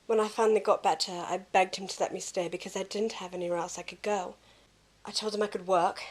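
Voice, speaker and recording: A woman's voice: medium pitch, lightly acted, rough recording. A woman playing an emotional, wounded young woman in an audio drama, earnest and dramatic in delivery.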